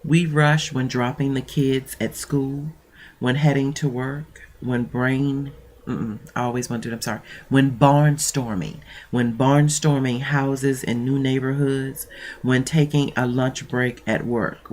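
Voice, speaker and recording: A woman's voice: rumbling low, slight background noise. Stuffy-nosed woman coach, chatty. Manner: chatty, rambling with fillers. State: stuffy nose. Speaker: mentoring coach. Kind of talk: casual talk and reading aloud.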